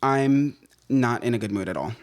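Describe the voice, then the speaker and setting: A man co-hosting a casual chat podcast from a home set, ranting and telling stories in an animated way. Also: medium pitch, normal speed. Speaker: podcast co-host.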